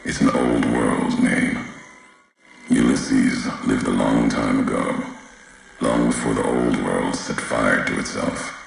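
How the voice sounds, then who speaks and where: An actor playing a brooding, fatalistic warrior courier in a video game, speaking solemnly in clipped, cryptic phrases with a very deep gravelly voice. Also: male voice, very echoey, a little hiss or hum, heavily acted, low-quality recording. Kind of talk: video game character dialogue, slow cryptic monologue.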